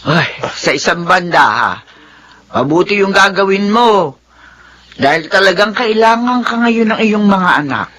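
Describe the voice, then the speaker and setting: Bright-voiced, plaintive elderly grandmother. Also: mid-range voice, expressive, measured pace. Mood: concerned. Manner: plaintive, consoling. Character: worried, pious, tender elderly grandmother. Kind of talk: melodramatic radio drama acting.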